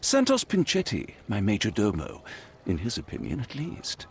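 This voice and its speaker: A man's voice: lowish voice, huge pitch swings, moderate pace, slightly rough, heavily acted. An actor playing a flamboyant, flirtatious male host of a masked party, speaking with theatrical swoops. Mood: flirty. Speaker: masquerade host.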